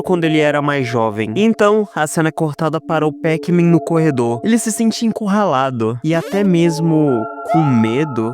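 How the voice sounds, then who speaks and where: A man with a Brazilian Portuguese accent narrating a horror story dramatically as a video voice-over. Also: a little high. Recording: voice-over with loud background music. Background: prominent music.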